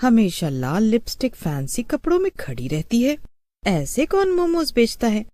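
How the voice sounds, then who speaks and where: Woman voicing a curious young wife, high and lilting. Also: wildly swooping pitch, normal speed, mellow, no echo. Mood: puzzled, curious. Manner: musing, questioning. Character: young curious wife, fixated and gently pleading. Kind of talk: animated horror story dialogue.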